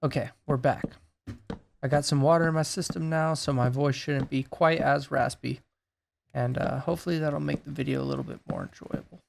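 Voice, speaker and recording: A man with a raspy voice that is going hoarse, thinking aloud in a laid-back way while he builds in a game. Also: on the high side, steady tone, a little fast, slight background noise. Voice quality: gravelly, raspy. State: losing his voice. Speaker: gaming YouTuber. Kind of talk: casual gameplay commentary.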